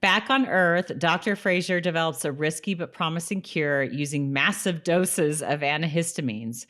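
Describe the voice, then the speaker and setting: A woman's voice: sits low, normal speed, a bit bright. A woman co-hosting a podcast, chatting casually as she recaps a TV episode. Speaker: podcast co-host.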